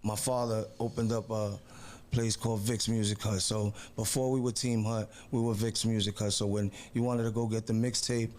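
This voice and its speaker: A man speaking as a guest in a casual interview, explaining things in a quiet, matter-of-fact way. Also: on the low side, flat delivery, normal speed. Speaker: guest, business owner.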